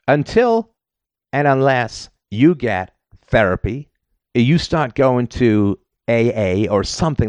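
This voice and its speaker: A man's voice: mid-range voice, a little mellow, no echo. A man raised in Britain hosting a call-in advice show, talking at length and tagging his points with "right?". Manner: talkative, storytelling, frequent "right?" tags.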